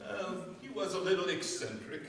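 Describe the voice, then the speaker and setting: A man's voice: high-pitched, expressive, moderate pace, gravelly. A man playing a formal, dutiful family lawyer in a staged mystery radio play. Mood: proper, discreet. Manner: formal, proper. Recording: echoey stage from far off, faint noise.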